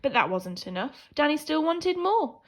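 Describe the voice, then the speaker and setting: A woman teacher reading a children's picture book aloud in an animated, theatrical way, swinging between hushed and emphatic delivery. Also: sits high, normal speed. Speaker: primary school teacher reading a story.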